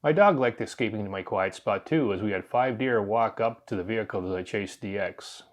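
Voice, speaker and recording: A man's voice: on the low side, a little fast. A man narrating an amateur radio video from a prepared script.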